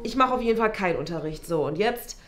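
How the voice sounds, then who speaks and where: A woman's voice: mid-range voice, expressive, fast talker, over music, lightly acted. A woman playing a self-important school headteacher in a comedy sketch, blunt and complaining, easily flustered. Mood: exasperated. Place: small office meeting room, indoors.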